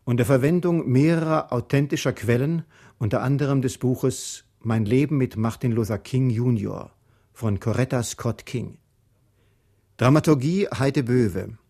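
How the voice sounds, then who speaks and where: A man reading formal radio play credits as an announcer, in a sober, measured manner. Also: mid-range voice, warm tone.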